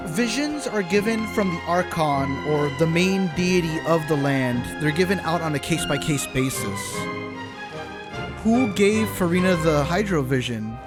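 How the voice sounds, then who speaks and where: A man's voice: fairly high pitch, animated, measured pace. A man giving casual, exclamatory live commentary on a game, swearing freely. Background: game music.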